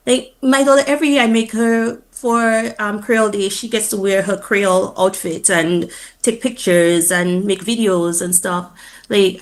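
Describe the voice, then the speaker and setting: A woman from Dominica with a Dominica accent, a teacher talking as a guest in a radio interview. She is animated and proud, laughs often, and uses fillers like "um" and "like". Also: medium pitch. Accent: Dominican (Dominica) Caribbean English.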